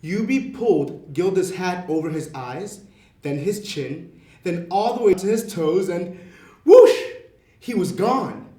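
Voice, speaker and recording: Male storybook narrator, very high register, slow pace, echoey and noisy recording. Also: lively intonation, dark tone. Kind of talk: scripted children's story reading. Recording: noisy, roomy, distant mic.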